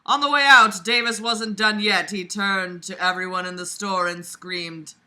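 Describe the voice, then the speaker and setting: A woman's voice: medium pitch, fairly even intonation, unhurried, pushed and bright. A young woman reading aloud and commenting casually in a sarcastic, exasperated, blunt way, recorded in a room at home. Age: young adult. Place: small room at home, lying on a bed.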